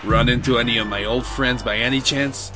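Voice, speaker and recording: A man's voice: mid-range voice, fairly even intonation, a little fast, loud music behind the voice, close to an everyday voice. A man playing a suspicious, hot-tempered man living under cover in an audio drama, curt and commanding.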